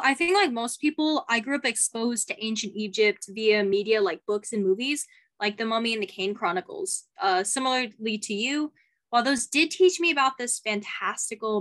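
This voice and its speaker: A woman's voice: on the high side, a bit bright. Friendly young woman podcast guest. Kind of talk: casual conversation.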